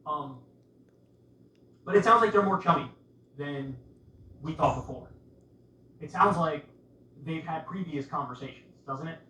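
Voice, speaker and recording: A man with a forceful, somewhat high voice delivers casual political commentary in an insistent way, leaning on rhetorical questions. He sounds set back from the mic in a roomy space with faint noise. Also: unhurried, long pauses, recorded from a distance.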